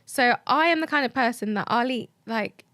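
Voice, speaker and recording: A woman's voice: on the high side, moderate pace, a bit bright, no room sound. A British woman chatting casually and candidly as a podcast guest. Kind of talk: casual chat.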